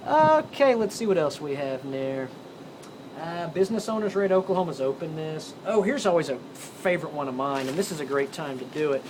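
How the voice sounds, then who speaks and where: A man's voice: a little high, lively intonation, brisk. An American man hosting an amateur radio show, rambling off the cuff in a folksy, jokey way with theatrical flourishes. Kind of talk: rambling ad-libbed radio show commentary on news. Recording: clearly noisy recording with ambient sound.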